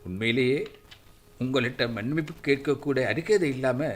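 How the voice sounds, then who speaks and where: An actor playing a remorseful older father in a radio drama, speaking slowly and heavily. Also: medium pitch, lightly acted. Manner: slow, heavy, contrite speech.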